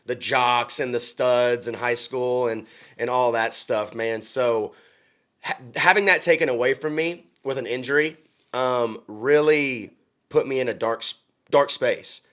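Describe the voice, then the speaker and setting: A man's voice: medium pitch, a little slow, a bit bright. A young man from Oklahoma, a country singer, talking earnestly in a casual interview with frequent ums and restarts. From Oklahoma, USA.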